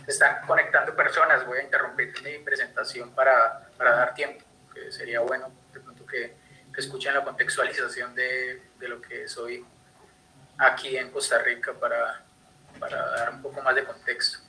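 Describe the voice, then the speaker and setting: Colombian guy on a video call, really bright tone, kinda monotone, lots of ums, faint hiss. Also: mid-range voice, moderate pace, low-quality recording. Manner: hesitant, many fillers and restarts. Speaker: conservation speaker. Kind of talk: online talk. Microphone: computer mic on video call.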